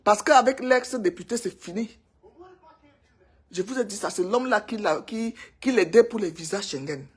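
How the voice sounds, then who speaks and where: A woman's voice: mid-range voice, expressive, normal speed, breathy edge. A woman from Cameroon with a Cameroonian French accent, talking to her viewers in a casual, emphatic gossip monologue and repeating herself for effect. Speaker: vlogger.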